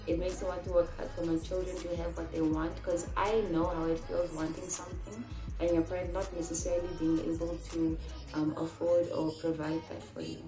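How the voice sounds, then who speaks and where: A woman's voice: fairly low pitch, level tone, fairly quick, reverberant, over music. A woman in her twenties from Namibia, answering viewer questions in a casual, chatty vlog and telling stories with many fillers. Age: 20s. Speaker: vlogger.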